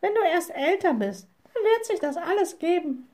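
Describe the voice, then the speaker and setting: Storybook raven, male, played by a woman: screechy croak, raised pitch, blunt scolding know-all. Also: expressive, normal speed, darkish tone. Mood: soothing, admonishing. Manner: reproachful, lecturing. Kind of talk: storybook character voice.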